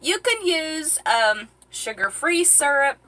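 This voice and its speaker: A woman's voice: high-pitched, very animated, slow pace, pushed and bright. A middle-aged woman chatting casually while she cooks in a small boat cabin, rambling with lots of ums and uhs, filmed by a camera a few feet away. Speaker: vlogger cooking on a boat. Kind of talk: casual how-to chat. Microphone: fixed camera a few feet away.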